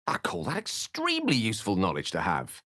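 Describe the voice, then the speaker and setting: A witty, dramatic man of magic in a fantasy video game. His pitch swings expressively as he turns florid, articulate phrases with wry self-assurance. The voice sits a little low and is captured dry, in theatrical character style. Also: huge pitch swings, brisk, fairly bright tone, no room sound, heavily acted. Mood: earnest, measured. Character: eloquent, witty, self-assured human wizard, bookish and dramatic.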